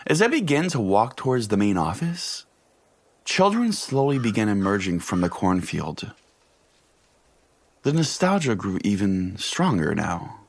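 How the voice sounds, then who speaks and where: A man's voice: fairly low pitch, sing-song, a little slow, breathy edge. A man hosting a podcast, telling a scripted comic story in a mock-solemn, profane way. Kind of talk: comic first-person scripted narration.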